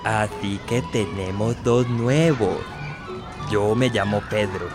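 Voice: medium pitch, takes it slowly. A performer playing a big, menacing eleven-year-old bully boy in a radio drama, commanding and intimidating. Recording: loud music bed behind.

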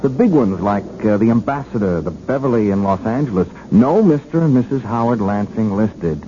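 An actor playing a dogged, folksy beat cop in a radio mystery, talking colloquially with hesitations and repeats. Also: male voice, fairly low pitch, animated, moderate pace, warm tone, close to an everyday voice. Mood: accusing, triumphant. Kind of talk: radio mystery drama acting. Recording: noisy, muffled old radio recording.

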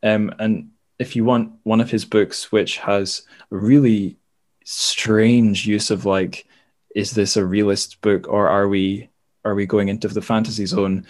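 A man with a fairly low voice hosts a casual book podcast. He talks informally and wanders through his points, with a self-deprecating streak. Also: little pitch movement, measured pace, a little mellow. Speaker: podcast host.

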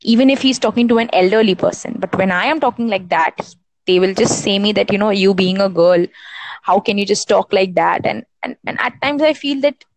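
A woman's voice: mid-range voice, brisk, no echo, poor audio. A young woman from India talking about her worries in a casual voice chat on a phone app, rambling with lots of restarts. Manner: rambling, with many restarts and "you know".

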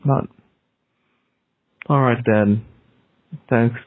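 A man whose first language is Indonesian leaves a halting, rambling voicemail full of restarts. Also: medium pitch, steady tone, no echo. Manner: halting, many restarts and fillers. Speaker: caller leaving a voicemail. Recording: tinny phone line.

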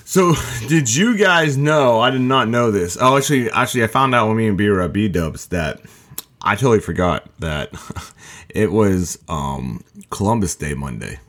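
Laid-back, profane low-voiced guy. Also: lively intonation, moderate pace, fairly bright tone. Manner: loose, profane, laughs often, says "dude" and "bro". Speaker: podcast host. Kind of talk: casual chat among friends.

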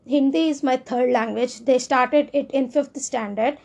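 High-voiced Indian woman, earnest and rambling. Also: steady tone, measured pace, gravelly, darkish tone. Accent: Indian English. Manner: earnest, rambling, with frequent restarts. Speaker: speaker sharing personal views. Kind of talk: casual monologue.

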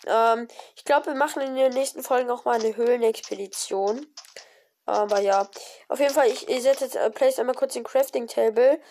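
A woman with a cold, giving casual, excited commentary on her own gameplay with many fillers and exclamations. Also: a little high, moderate pace, slight background noise. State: has a cold. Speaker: gaming video creator. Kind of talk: casual let's-play commentary.

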